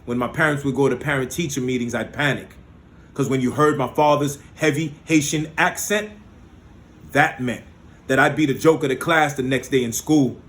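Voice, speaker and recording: A man's voice: mid-range voice, fairly even intonation, normal speed, fairly bright tone. A man from the United States performing spoken word poetry with a rhythmic, rhyming delivery and emphatic peaks, with short spoken asides between pieces.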